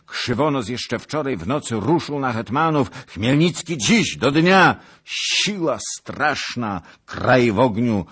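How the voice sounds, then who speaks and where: A man's voice: sits low, slightly rough, full character voice. A man voicing a panicked servant messenger fleeing with dire news in a historical storybook, with urgent, emphatic delivery.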